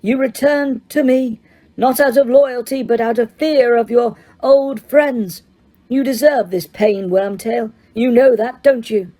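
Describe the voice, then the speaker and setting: A chilling male dark lord, as a woman reader puts him on, speaking slowly in a quiet, mellow voice raised above her own. He hisses out his threats with cold, unhurried menace. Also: on the high side, voice pushed up.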